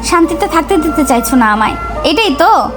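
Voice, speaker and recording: A woman's voice: high-pitched, big pitch swings, a little fast, over music. A woman voicing a troubled, irritable young wife, curt and exasperated, in a romantic audio story. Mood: angry.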